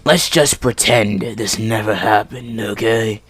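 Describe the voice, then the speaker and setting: A man voicing a blunt, stern, bossy male bassist in a fan fiction reading. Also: takes it slowly, a bit bright, husky, heavily acted. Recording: music playing behind the voice.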